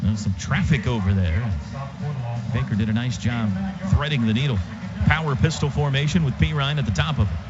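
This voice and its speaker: A man's voice: medium pitch, fairly quick, loud music behind the voice. A man calling a live football game as a radio play-by-play announcer, in clipped, rhythmic phrases. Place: outdoor stadium radio booth. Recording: muffled radio feed, loud stadium noise.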